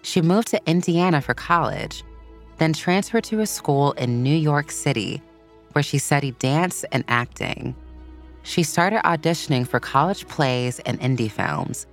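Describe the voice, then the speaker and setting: A woman with a very deep voice narrates a scripted story for children. Music plays clearly behind her as she reads. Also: expressive, unhurried.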